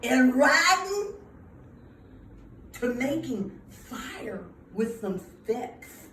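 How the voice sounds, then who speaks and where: A woman reads a children's story aloud with an emphatic, theatrical delivery. Her voice is fairly high and she takes her time, pausing for her young listeners. The sound is roomy and a little far from the mic, with faint noise. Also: sing-song, fairly bright tone. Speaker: preschool owner reading at a children's storytime. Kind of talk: read-aloud picture book storytelling with asides to children.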